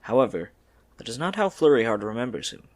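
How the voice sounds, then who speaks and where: A man's voice: medium pitch, sing-song, brisk. A man reading scripted story narration for an audio drama.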